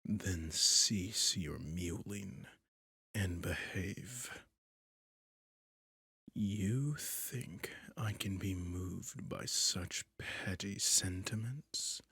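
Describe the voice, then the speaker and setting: A man voicing a possessive, sadistic male vampire who is cruelly seductive, in an ASMR roleplay, talking slowly and domineeringly in a husky, often whispered voice close to the mic. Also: low voice, sharp tone, no echo, heavily acted. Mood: menacing. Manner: slow, purring, domineering.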